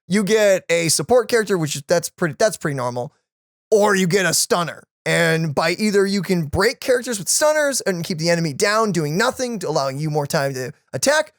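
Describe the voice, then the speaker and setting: A man's voice: high voice, animated, fairly quick, no echo, clean studio sound. A man chatting casually and enthusiastically as the host of a gaming reaction channel, in a rambling way. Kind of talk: casual chat about a video game.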